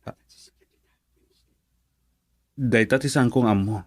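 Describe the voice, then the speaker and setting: A man's voice: mid-range voice, slow, no room sound, clean studio sound. A man voicing a cautious friend in a radio drama who firmly refuses to share information. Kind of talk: Ilocano radio drama acting.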